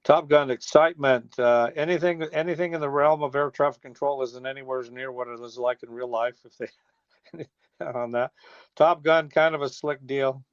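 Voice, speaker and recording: A man's voice: mid-range voice, steady tone, normal speed, clear, resonant tone, dry recording. Folksy older American man on a dull phone line. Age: 60s. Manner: folksy, rambling with trailing restarts. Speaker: interview guest, teacher and former air traffic controller. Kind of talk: casual phone interview, reminiscing. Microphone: telephone.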